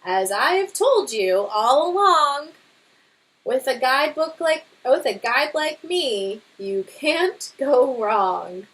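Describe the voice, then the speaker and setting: A woman's voice: high voice, expressive, takes it slowly, a bit bright, exaggerated voice, rough recording. A woman voicing a boastful, bumbling father bear in a storybook read-aloud, with an emphatic manner. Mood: smug.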